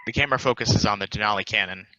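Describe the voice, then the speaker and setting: A man's voice: on the low side, racing, sharp tone, dry recording, muffled recording. A man reading out stage directions as scripted narration for a play.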